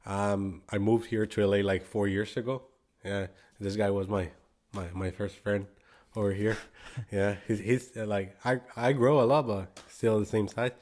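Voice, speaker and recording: A man's voice: lowish voice, steady tone, normal speed, a little mellow. A man in his twenties from Guatemala with a Spanish accent, speaking in a loose, rambling way as a podcast guest, full of "like" and "bro" and with the odd switch into Spanish. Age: 20s. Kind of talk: casual interview chat.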